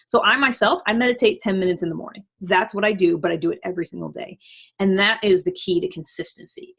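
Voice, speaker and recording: A woman giving casual motivational advice as a host, conversational and emphatic, often voicing imagined self-talk. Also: mid-range voice.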